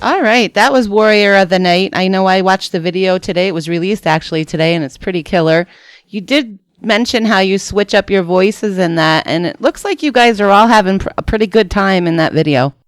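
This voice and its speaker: Female radio host, clear resonant voice, casual interviewer. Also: medium pitch, fairly quick, no echo, soft background music. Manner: relaxed, chatty questions.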